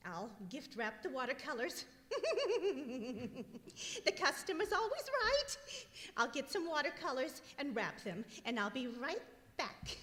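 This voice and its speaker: A woman acting as an expressive, theatrical married shop clerk who is easily exasperated, in an old-time radio comedy performed on stage. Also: high-pitched, exaggerated voice. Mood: forced cheerfulness. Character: married female shop clerk, sweet turning exasperated, scolding. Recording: hall reverb, live stage performance.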